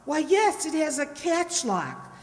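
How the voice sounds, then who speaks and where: Lady playing a fretful naive school teacher, high little voice, fussy, old radio show, echoey hall. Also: sing-song, slow, heavily acted. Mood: earnest, fussy. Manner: hesitant, fussy, plaintive. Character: prim, fretful spinster schoolteacher, naive and earnest. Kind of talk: old-time radio detective drama acting. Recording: very reverberant room or hall.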